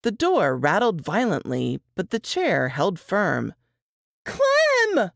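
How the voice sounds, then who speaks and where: Female fiction narrator: low pitch, unhurried, expressive and deliberate scripted reading. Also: vocal fry, dry recording, clean studio sound.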